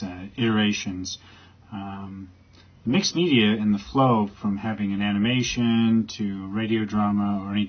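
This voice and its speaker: A man giving an informal explanatory talk as a project's creator, in a halting, rambling manner. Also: lowish voice, slightly rough. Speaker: creator presenting his project. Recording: slightly dull, lo-fi recording.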